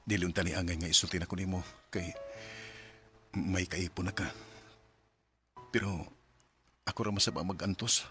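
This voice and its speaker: A man's voice: deep voice, steady tone, normal speed, long pauses, fairly bright tone, soft background music. A man with a Cebuano Filipino accent playing a smitten, earnest coworker in a radio drama. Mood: pleading, tender. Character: smitten male coworker, earnest romantic suitor.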